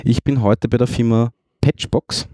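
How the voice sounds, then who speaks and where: A man's voice: medium pitch, fairly even intonation, moderate pace, mellow, no echo, studio quality. A man hosting a podcast interview, asking questions in a loose, hesitant way with many fillers. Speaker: podcast host.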